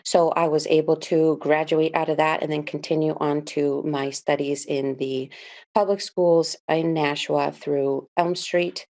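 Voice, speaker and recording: A woman in her thirties from the Dominican Republic gives her opening statement as a school board candidate. Her voice sits low, and she speaks earnestly with many small fillers. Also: level tone, unhurried, a little mellow. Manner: earnest, with frequent "uh" and "um".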